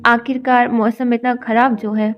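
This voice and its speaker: A woman voicing a kind, caring elderly mother-in-law in an animated story, speaking slowly and soothingly. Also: on the high side, lo-fi sound, close to an everyday voice. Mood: gentle. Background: background music.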